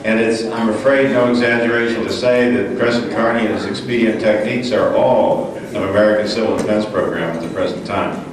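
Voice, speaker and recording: A man's voice: on the low side, fairly even intonation, fairly quick, hardly any pauses, far from the mic, crowd noise. A man introducing a speaker at a meeting, hesitant, with many fillers. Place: conference hall. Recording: very echoey hall, off-mic, noisy.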